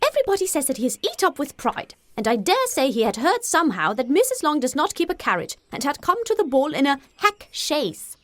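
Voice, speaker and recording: A woman voicing a fussy, excitable middle-aged mother in an audiobook, gossipy and indignant, with emphatic exclamations. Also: sits high, a little fast, hardly any pauses, no room sound, voice pushed up. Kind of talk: audiobook character voice.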